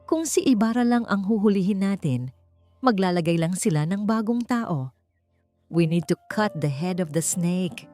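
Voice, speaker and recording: A woman's voice: medium pitch, expressive, normal speed, dark tone, no room sound, clean studio sound, natural voice. A woman with a Filipino accent voicing a resolute, firm billionaire man in an audiobook drama. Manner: firm, emphatic. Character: resolute billionaire man, sharp, commanding, secretly tender. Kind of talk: audiobook character dialogue. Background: background music.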